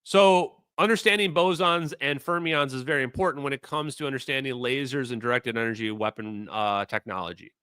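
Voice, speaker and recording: A man's voice: mid-range voice, fairly quick, hardly any pauses, bright, forceful tone, clear, resonant tone, dry recording. A man from Minnesota with a Minnesota accent, hosting a livestream and talking casually, rambling with fillers and repeated phrases. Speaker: streamer host.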